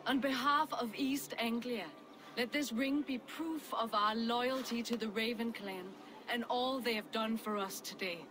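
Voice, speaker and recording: A woman's voice: a little high, fairly even intonation, measured pace, natural voice. A woman playing a proud noblewoman in a video game drama, speaking with formal dignity. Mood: formal, grateful.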